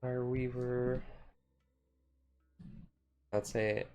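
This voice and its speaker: A man's voice: medium pitch, level tone, extremely slow, darkish tone, faint music behind. A man streamer chatting casually and rambling in a self-deprecating way as he talks to his live viewers. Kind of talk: casual live-stream chat while sorting cards.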